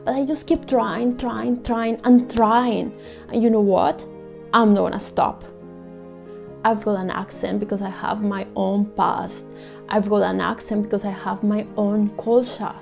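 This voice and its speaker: A woman's voice: mid-range voice, fairly even intonation, gravelly, soft background music. A young woman from Colombia, speaking English with a Colombian Spanish accent, in an earnest, heartfelt personal monologue. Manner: earnest and heartfelt, soft and measured. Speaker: vlogger.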